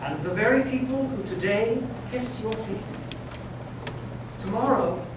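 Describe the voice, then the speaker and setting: An old, bitter inquisitor cardinal, acted by a man in staged readers theater. His high voice declaims imperiously, swelling from hush to force. It carries from a distance through a very echoey, noisy hall. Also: unhurried. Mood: menacing.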